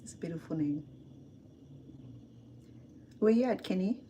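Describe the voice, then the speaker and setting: A woman's voice: lowish voice, expressive, unhurried, noisy background. A middle-aged woman from Cambodia with a Cambodian accent, chatting casually with livestream viewers while cooking in her home kitchen. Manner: chatty, answers viewer comments, laughs often.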